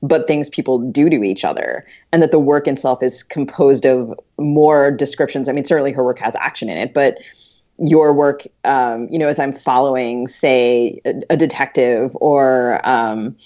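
A woman's voice: extremely low pitch, normal speed, warm tone, highs cut off. A woman co-hosting a podcast, talking thoughtfully about literature and searching for her words as she goes. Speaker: podcast co-host.

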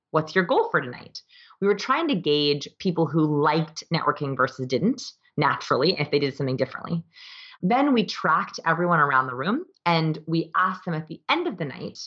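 A woman's voice: sits low, fairly bright tone, slightly rough, highs cut off. A woman giving conversational, explanatory interview answers as an expert guest, with emphatic delivery. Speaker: interview guest, author and behavior researcher.

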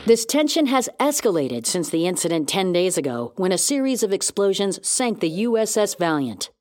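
Actress as newscaster, female, medium pitch, composed, grave, formal broadcast delivery, sci-fi audio drama. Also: big pitch swings, normal speed, a little mellow, natural voice. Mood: grave, measured. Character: composed, serious female news anchor. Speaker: news anchor. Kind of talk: scripted news report in audio drama.

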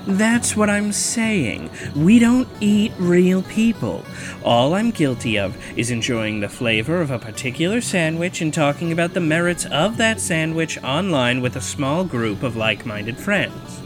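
A man's voice: fairly high pitch, expressive, a little slow. An actor playing an indignant, menacing man in an audio drama, sarcastic, profane and confrontational as he defends himself. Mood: defensive, insistent. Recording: music playing underneath.

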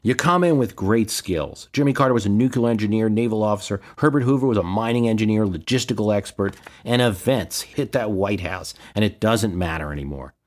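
An American man hosting a podcast, explaining and giving his views in a measured, emphatic solo commentary. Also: lowish voice. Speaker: history and politics podcast host.

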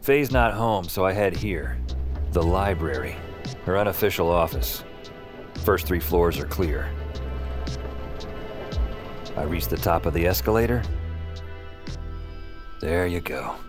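A man's voice: low voice, animated, slow, pauses often. An actor playing an anguished, self-deprecating hero who narrates his nightmare in short, brooding phrases in an audio drama. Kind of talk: first-person noir-like audio drama narration. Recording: loud music bed under voice.

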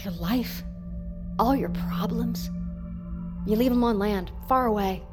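A woman's voice: mid-range voice, slow, loud music behind the voice, lightly acted. An actress playing an anxious, stubborn woman scientist in a naturalistic audio drama, argumentative and distrustful. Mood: confessional, wary.

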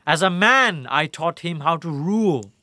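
A sardonic captured warlord, audio drama, slow and teasing, voice swings up and down a lot. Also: male voice, fairly high pitch, expressive, sharp tone, dry recording. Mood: grandiose, self-assured. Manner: theatrical, taunting, wry. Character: captured enemy warlord, flippant, cocky, sardonic older man.

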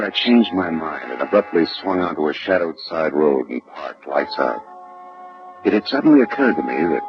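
An actor playing a tough, wry private detective in a 1940s radio drama, with a hardboiled, sardonic delivery. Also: male voice, sits low. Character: tough, wry male private detective narrating his case. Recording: dull old radio recording with music.

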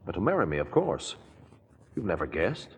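An actor in a 1940s radio drama playing an earnest, polite small-town lawyer and modest suitor who speaks a little hesitantly. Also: male voice, deep voice, big pitch swings, normal speed, husky, exaggerated voice. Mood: earnest, pleading. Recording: old 1940s radio broadcast, reverberant.

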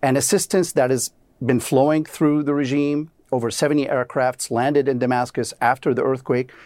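A man from Syria answering as a policy expert guest in a news interview, explaining earnestly and gravely. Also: mid-range voice, fairly even intonation, moderate pace, a little mellow, dry recording.

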